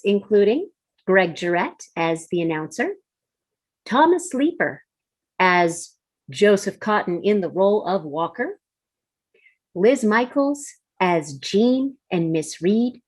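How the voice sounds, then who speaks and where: A woman's voice: medium pitch, no room sound. A woman presenting a radio play with a scripted introduction.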